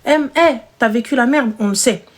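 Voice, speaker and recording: A woman's voice: a little high, normal speed. A single mother hosting a live stream, chatting casually and emphatically with slangy speech and repeated phrases.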